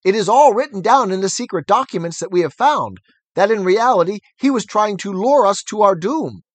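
A man voicing Squealer, a persuasive, excitable male pig who talks fast and insistently. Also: high-pitched, dry recording, pitched above the performer's own voice. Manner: smooth, insistent, wheedling.

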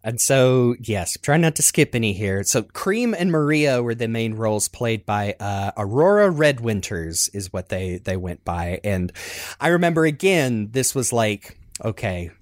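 A man chatting casually and enthusiastically as a host, rambling through memories and quick to laugh. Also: mid-range voice, big pitch swings, dry recording, studio quality. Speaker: podcast host, fan audio play creator. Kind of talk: casual reminiscing chat.

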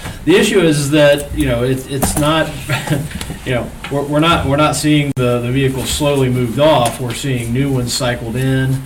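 A man's voice: medium pitch, fairly even intonation, normal speed, few pauses. A man on township staff reporting at a public board meeting, hesitant with many fillers and restarts. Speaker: township zoning staff member. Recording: distant mic, noisy room.

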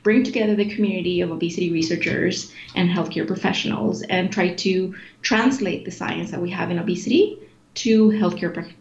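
A woman in her forties, born in Chile, answering interview questions in a thoughtful, explanatory way with frequent fillers and restarts. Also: on the low side. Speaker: guest, executive director of an obesity organization. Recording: echoey room, dull lo-fi sound, faint noise.

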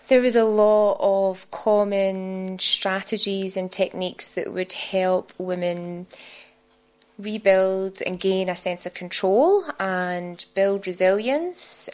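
Woman, thick Scottish accent, unhurried, giggly, radio studio guest. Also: medium pitch, smooth. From Scotland. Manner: giggly, warm, chatty with many fillers. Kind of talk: casual interview chat.

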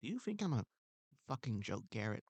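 Man doing a pompous, needy guard. Gravelly voice, rambling, lots of stammers. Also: medium pitch, sing-song, brisk, darkish tone, no room sound. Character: insecure pompous male mall security guard. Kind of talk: improvised comedy scene.

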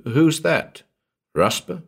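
A man acting a forceful, irritable carnival tough. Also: on the high side, sing-song, slow pace, vocal fry, breathy edge, pitched above the performer's own voice, heavily acted. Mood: angry irritation. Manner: forceful, demanding, vehement. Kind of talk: dramatic audiobook character reading.

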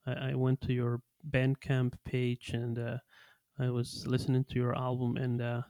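A man's voice: mid-range voice, monotone, moderate pace, dark tone, no room sound, studio quality. A man hosting a podcast, enthusiastic and full of praise, often stumbling and repeating words. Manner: enthusiastic, effusive praise, stammering restarts. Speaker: podcast host. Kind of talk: podcast interview.

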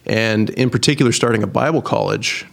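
A man from Idaho, a missionary guest telling his story in a measured, casual interview. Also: medium pitch, gravelly. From Idaho, United States.

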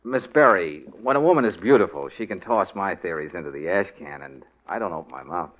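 An actor playing a suave, wry private investigator in a 1940s radio detective drama, cool and commanding with a flirtatious streak. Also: male voice, low-pitched, expressive, a little fast. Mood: flirtatious, probing. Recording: muffled old radio drama recording.

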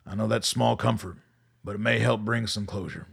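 An actor playing a weary middle-aged colonel in a radio drama, measured and commanding. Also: male voice, on the low side, fairly bright tone, full character voice. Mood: firm, reassuring. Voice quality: gravelly, husky. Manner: measured, authoritative.

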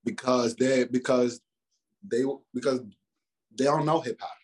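A man's voice: mid-range voice, fairly even intonation, unhurried. A man in his twenties chatting casually as a rapper in an interview, loose and slangy with lots of fillers and restarts. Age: 20s. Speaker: rapper guest.

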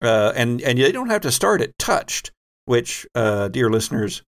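A man hosting a tabletop role-playing game, explaining the rules in a halting, self-correcting way. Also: mid-range voice, very animated. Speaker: host and game master.

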